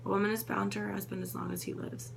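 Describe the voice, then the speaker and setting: A woman reading Bible passages aloud in a steady, unhurried way, now and then correcting a slip. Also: mid-range voice, creaky, background noise. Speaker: Bible reader.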